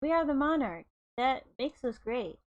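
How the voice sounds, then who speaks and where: A woman's voice: high voice, very animated, moderate pace, dull audio. A woman reads the part of a cheeky young male gamer monarch in a light novel. He is casual, glib and pleased with himself, treating idleness as serious work. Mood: smug, casual.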